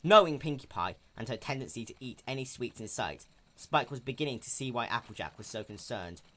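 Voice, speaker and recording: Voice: medium pitch, expressive, brisk, fairly bright tone, a little hiss or hum. A narrator giving a dramatic reading of a fan fiction story. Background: background music.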